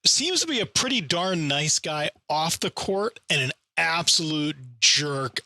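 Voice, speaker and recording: Male co-host, animated and wry, a little higher voice, dry close mic. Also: a little slow, no echo. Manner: animated, wry, laughs easily. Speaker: sports podcast co-host. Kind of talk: casual sports chat.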